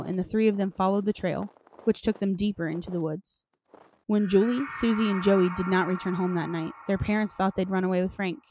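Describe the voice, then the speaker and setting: A woman's voice: medium pitch, darkish tone. A woman hosting a podcast, moving between dramatic story reading and casual, playful chat. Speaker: podcast host. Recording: clearly noisy recording with clicks.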